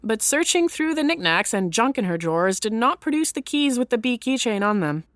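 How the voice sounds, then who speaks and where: A woman reading scripted third-person story narration in an expressive storytelling manner. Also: fairly high pitch, a little fast, dry recording, clean studio sound.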